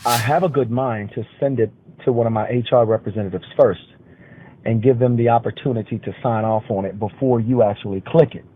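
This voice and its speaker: A man leaving a deliberate, formal voicemail with instructions, recorded over a phone line. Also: mid-range voice, husky. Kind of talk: voicemail message giving instructions. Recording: tinny, noisy phone voicemail.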